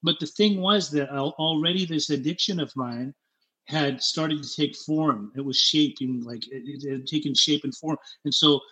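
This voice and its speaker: A middle-aged man, born in Germany and raised in Utah, telling his own story as an interview guest in a candid, halting way, often repeating words and growing animated as he retells scenes. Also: a little high, rough recording.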